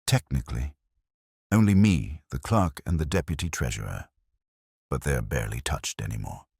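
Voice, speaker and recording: Man reading as anxious, deep-voiced clerk. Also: expressive, moderate pace, gravelly, a little mellow, slightly rough, no echo, clean studio sound, full character voice. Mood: hesitant, defensive. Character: anxious male records clerk, defensive and evasive.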